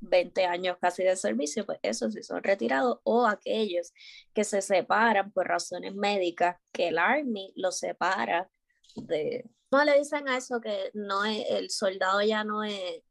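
A woman hosting a podcast, talking in a quick, casual way. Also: medium pitch, creaky, no room sound. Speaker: podcast host.

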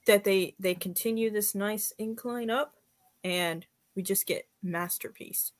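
A woman's voice: mid-range voice, unhurried. Chatty woman guesting on a podcast. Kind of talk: casual podcast chat.

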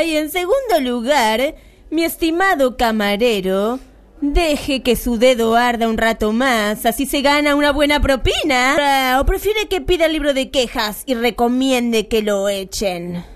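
High-pitched, gleefully wicked villainess. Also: female voice, animated, unhurried. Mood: scornful, menacing. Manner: theatrical, cackling, gloating, mock-sweet. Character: gleefully wicked, self-absorbed spinster birthday villainess. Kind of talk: comic radio drama acting.

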